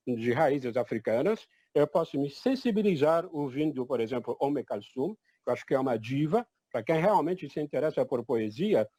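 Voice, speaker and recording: Older man from Egypt, fairly high voice, firm and emphatic testimony. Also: dark tone, no room sound. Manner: emphatic, declarative, repeats "definitivamente". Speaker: event participant.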